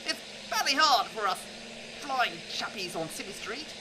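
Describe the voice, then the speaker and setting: A jittery young British airman, played by a woman in a comic radio play. He speaks with excitable, jolly slang, in a lively, swooping voice. The tone is very bright. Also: on the high side, a little slow, slight background noise. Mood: anxious.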